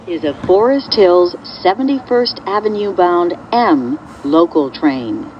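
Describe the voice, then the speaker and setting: Slow, low-voiced woman announcing subway stops. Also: lively intonation. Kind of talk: scripted recorded transit announcement. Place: played over speakers inside a subway car. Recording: dull lo-fi capture, loud train noise.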